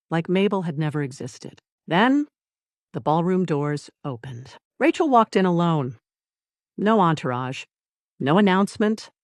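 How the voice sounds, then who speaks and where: A narrator reading a scripted dramatic story in deliberate short phrases with dramatic pauses. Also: mid-range voice, no room sound, clean studio sound. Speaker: audiobook narrator.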